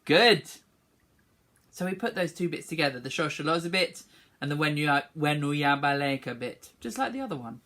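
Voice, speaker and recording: A woman's voice: deep voice, lively intonation, a little fast, a bit bright, slight background noise. A woman teaching children a song, speaking encouragingly and singing short phrases for them to repeat. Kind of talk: online singing lesson, call and response.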